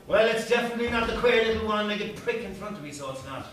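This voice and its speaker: A curmudgeonly Irish publican, scornful and scolding. The voice is high and swings about, heard from afar in a very echoey theatre. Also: male voice, animated, fast talker, fairly bright tone. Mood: exasperated. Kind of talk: live stage play acting. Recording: echoey theatre stage, distant mic, faint hiss.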